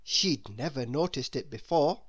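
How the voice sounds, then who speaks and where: A woman reading a children's story aloud in an expressive, deliberate manner. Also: extremely low pitch, breathy edge. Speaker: storybook reader. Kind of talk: children's read-aloud narration.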